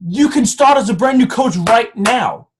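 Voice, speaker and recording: A man's voice: very high voice, expressive, measured pace, a little hiss or hum. A man in his twenties giving an earnest, pushy motivational talk to his coaching team from home, often restarting his sentences. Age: 20s. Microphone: camera.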